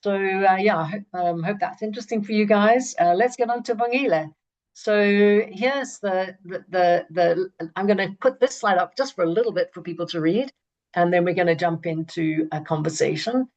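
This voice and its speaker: A woman from Zambia hosting an online webinar, enthusiastic but halting, with many restarts. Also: lowish voice, a little mellow, highs cut off. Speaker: webinar host.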